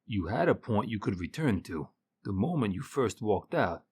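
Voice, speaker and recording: A male voice playing a calm, cryptic keeper of an otherworldly store, patient and terse, with a husky tone. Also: fairly low pitch, normal speed, no room sound, studio quality. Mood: flatly grave.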